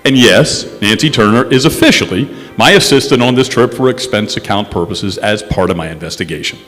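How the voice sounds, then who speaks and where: A man playing a wry, smooth-talking insurance investigator who narrates his case in the style of a 1950s radio detective drama. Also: on the low side, expressive, a little fast, fairly bright tone. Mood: wry, confiding. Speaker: stage radio actor. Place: stage in a historic courthouse hall. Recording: echoey hall, music underneath.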